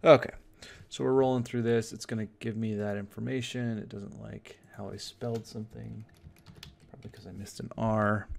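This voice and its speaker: A man giving an informal screen tutorial, thinking aloud as he explains each step in a casual, halting way. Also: lowish voice, little pitch movement, gravelly, darkish tone. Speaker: software tutorial instructor. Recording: noisy room with keyboard clicks. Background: typing and mouse clicks.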